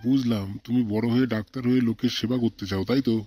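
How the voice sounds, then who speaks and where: A man's voice: medium pitch, fairly even intonation, very fast, dark tone, lightly acted. A man voicing a jovial, easily shocked education minister in a comic cartoon, questioning and repeating words emphatically. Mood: cheerful, inquisitive.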